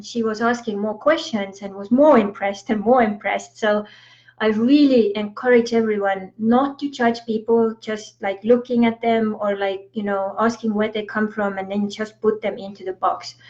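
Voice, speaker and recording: A woman's voice: fairly high pitch, darkish tone. A woman in her forties with an Estonian accent, talking casually on a livestream and sprinkling her speech with "you know" and "like". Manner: chatty, many fillers like "you know" and "like". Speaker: spiritual coach on a livestream. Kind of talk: casual motivational monologue. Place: home in the US. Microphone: livestream from phone or laptop. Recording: dull lo-fi livestream audio.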